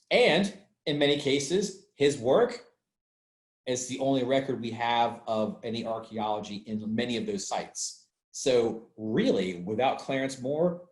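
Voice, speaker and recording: A man speaking as an archaeologist, giving an informal explanatory talk in a conversational way, repeating points for emphasis. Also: lowish voice. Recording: roomy, echoey sound.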